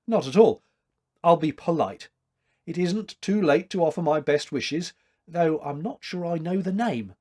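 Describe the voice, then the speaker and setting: A courteous storybook suitor, acted by a man, composed and determinedly cheerful. He speaks formally in a slightly high male voice with a husky edge. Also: animated, measured pace, a little mellow. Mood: polite. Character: composed, resolutely cheerful, polite gentleman suitor.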